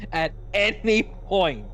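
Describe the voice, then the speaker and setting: A man's voice: sits high, sing-song, very slow, a bit bright, a little hiss or hum. A Norwegian man with a Norwegian accent, speaking English as a second language, chatting casually as a podcast host in a self-deprecating way and swearing freely. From Norway. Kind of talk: casual chat while drawing.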